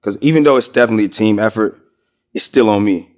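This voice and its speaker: Male American rapper as interview guest, low register, informal slang, loose rambling delivery. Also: quick pace. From Minnesota, United States. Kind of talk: casual interview chat.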